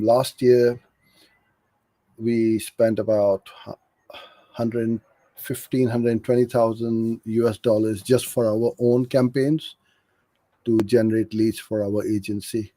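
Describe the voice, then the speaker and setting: A man's voice: lowish voice, little pitch movement, takes it slowly, pauses often, warm tone, dry recording. A middle-aged man from Karachi, Pakistan, coaching in an informal live question-and-answer session, frank and practical as he explains. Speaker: digital marketing agency owner hosting a live Q&A.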